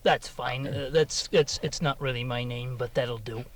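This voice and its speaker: A man's voice: mid-range voice, steady tone, moderate pace, natural voice. A man playing an evasive, pompous corporate spokesman who stammers and rambles apologetically in a mock radio interview. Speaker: interview guest.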